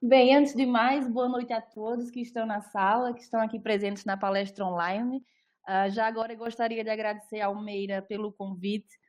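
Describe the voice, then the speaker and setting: A young Brazilian woman speaks with a Brazilian Portuguese accent, presenting on nutrition in a friendly, explanatory tone. Her voice sits a little high, and she leans on fillers as she goes. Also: fairly even intonation, normal speed, darkish tone. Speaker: nutritionist giving a talk. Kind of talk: online presentation.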